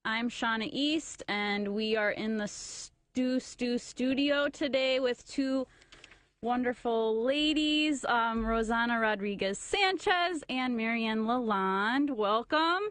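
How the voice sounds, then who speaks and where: A woman with a fairly high voice co-hosts a talk radio show. She is chatty and friendly, with loose fillers. Her voice sounds close and dry in the studio. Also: slow, a bit bright, no room sound. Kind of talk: radio interview hosting.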